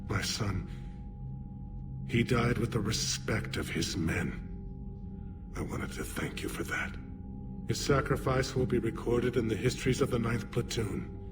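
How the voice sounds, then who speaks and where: A man playing a dignified, grieving alien leader in a video game, speaking formally and gravely. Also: medium pitch, unhurried, long pauses, music in the background, natural voice. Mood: solemn, grieving. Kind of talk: video game cutscene acting.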